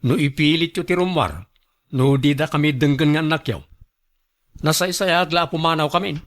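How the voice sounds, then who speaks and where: High-voiced, scolding Ilocano son played by a man. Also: moderate pace, a bit bright, lightly acted. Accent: Filipino, Ilocano. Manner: scolding, firm. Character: stern adult son, exasperated, reproachful. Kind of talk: radio drama acting.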